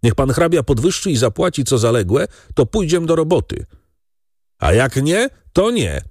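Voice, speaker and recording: A man's voice: on the high side, animated, brisk, a little mellow, slightly rough, no room sound, clean studio sound, voice pushed up. A man voicing defiant farm servants in an audiobook, making insistent demands in rustic dialect.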